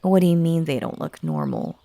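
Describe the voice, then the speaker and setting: A woman playing a clingy, unhinged magical bunny woman in a roleplay audio drama, coaxing and gushing, breathy and dropping into whispers, with stammers and stern turns. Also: low-pitched, wildly swooping pitch, a little fast, dark tone, no room sound, full character voice. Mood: giddy, nervous.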